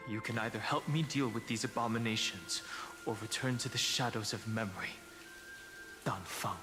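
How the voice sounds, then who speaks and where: A man playing a stoic, reserved young warrior in a video game, speaking in a serious, measured, terse way. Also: lowish voice, over music, close to an everyday voice. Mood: firm, serious. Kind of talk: scripted video game drama.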